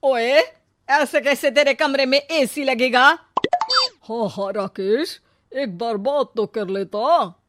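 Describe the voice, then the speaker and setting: A woman's voice: high-pitched, takes it slowly, heavy background noise, pitched above the performer's own voice. A woman voicing a domineering older mother, fiercely partial to her daughter, in a cartoon story. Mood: scolding. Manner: scolding, forceful, domineering.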